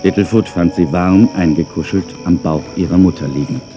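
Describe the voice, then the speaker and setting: A man narrating a scripted children's audio drama as a calm storyteller. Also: sits low, brisk, faint music behind, lightly acted.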